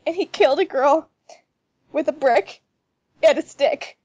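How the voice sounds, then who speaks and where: Lady doing a bashful excitable schoolgirl, really high voice, dry sound. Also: a little slow, no echo, muffled recording. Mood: upset, breathless. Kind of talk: amateur fan fiction table read.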